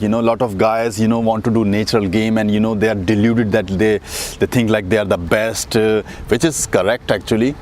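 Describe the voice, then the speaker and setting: A man's voice: on the low side, a little mellow. A man with an Indian accent talks in a rambling casual vlog with many fillers and restarts, sitting outdoors on a windy day. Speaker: dating coach vlogger. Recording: faint street and traffic noise outdoors.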